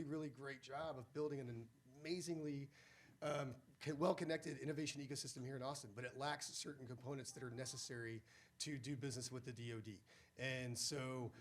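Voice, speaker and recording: Guy w a raspy cold voice, casual speech to a live audience, middle pitch. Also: slight background noise. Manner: folksy, informal speaker. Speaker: nonprofit co-founder.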